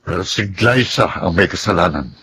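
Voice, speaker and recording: A man's voice: low voice, expressive, normal speed, a bit bright, slightly rough, heavily acted. An actor playing an indignant married man in a Filipino radio drama, emphatic and melodramatic, with a Filipino accent. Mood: grave, troubled. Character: indignant married man, accusing his seductive stepdaughter.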